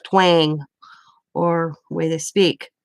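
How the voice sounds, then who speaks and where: A woman's voice: deep voice, little pitch movement, extremely slow, vocal fry, dry recording. A woman from the Midwest, chatting casually and rambling while she crafts, laughing often. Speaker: craft vlogger.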